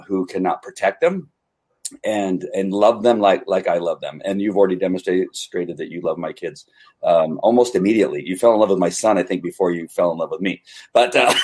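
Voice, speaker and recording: A man's voice: fairly low pitch, husky. A man hosting a casual livestream chat, speaking in an earnest, playful and hesitant way. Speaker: host.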